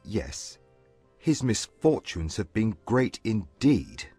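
A man acts a proud, indignant gentleman suitor from a period romance audiobook. His husky voice swings widely in pitch through formal, emphatic phrases. The sound is close and dry, with soft music underneath. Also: sing-song, slow, no room sound, heavily acted. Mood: cold contempt. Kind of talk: dramatised audiobook acting.

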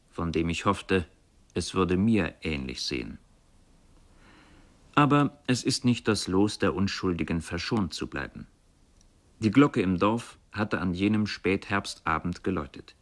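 Low-voiced, reflective storyteller. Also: male voice, lively intonation, a little slow. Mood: brooding, remorseful. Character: brooding poor river fisherman, remorseful and fatalistic. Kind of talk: literary radio play narration.

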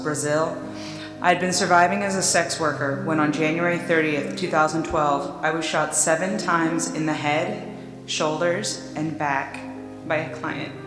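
A woman with a low voice reads a memorial text solemnly. Her words echo through a church, with music playing behind them. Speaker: volunteer reader. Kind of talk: solemn first-person reading of a memorial text. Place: church, at a lectern. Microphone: lectern microphone. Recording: echoey church, music underneath.

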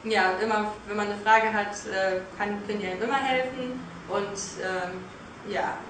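A young woman in her twenties chats hesitantly but cheerfully about her school, pausing on filler sounds. She stands far from the camera in a large, bright lobby, so her voice rings with a strong, roomy echo. A faint hiss sits underneath. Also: mid-range voice, fairly even intonation. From northern Germany. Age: 20s. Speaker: language school student. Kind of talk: casual testimonial chat. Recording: echoey hall, distant mic, faint hiss.

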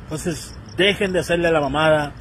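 A Mexican man in his thirties rants casually into his phone camera outside, his voice pitched fairly high. He talks in slang and acts out the people he quotes. Faint traffic hum sits behind him. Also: moderate pace, fairly bright tone. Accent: Mexican Spanish. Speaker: vlogger. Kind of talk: casual commentary rant. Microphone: phone selfie camera.

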